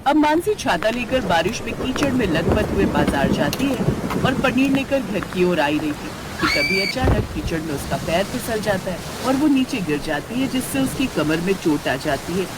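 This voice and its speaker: A woman narrating an animated family story in a calm storybook manner. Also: medium pitch, moderate pace, heavy background noise.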